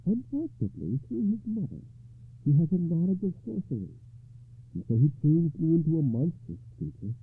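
Guy doing a wise calm enchanted lady, slow and lilting, dry muffled audio. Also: a little high, creaky, breathy edge, dry recording, voice pushed up, full character voice. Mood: gentle, poised. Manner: slow, raised and deliberate. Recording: dry phone-like muffled sound.

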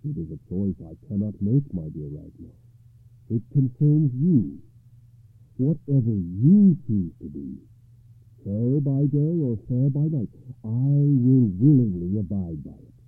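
Casting: man doing a courteous loyal knight, husky voice, thin phone-like recording. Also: mid-range voice, big pitch swings, unhurried, no echo, pitched above the performer's own voice. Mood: earnest. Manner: earnest and courteous. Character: young knight, loyal and earnest. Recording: dry phone-like muffled sound.

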